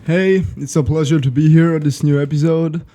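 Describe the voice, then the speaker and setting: A man's voice: fairly high pitch, steady tone, a little fast, mellow. A man from Switzerland with a Swiss French accent, a French native speaker, chatting as a podcast guest and sometimes searching for words. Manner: searches for words, restarts phrases. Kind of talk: casual podcast chat.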